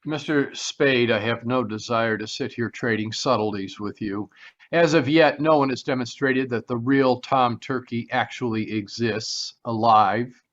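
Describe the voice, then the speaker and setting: A man acts an evasive, formal gentleman from an old radio mystery, with a medium-pitched voice. He is wordy and dismissive, fending off questions with polished politeness. The sound is slightly dull, like a video call. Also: measured pace, lightly acted. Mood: firm, haughty. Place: remote live video call performance.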